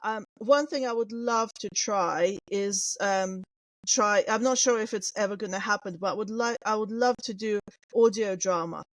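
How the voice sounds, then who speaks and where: A woman's voice: medium pitch, normal speed, no echo, low-quality recording. A woman whose first language is Russian, a guest manga artist talking casually and hesitantly, with many fillers and restarts. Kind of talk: casual interview chat.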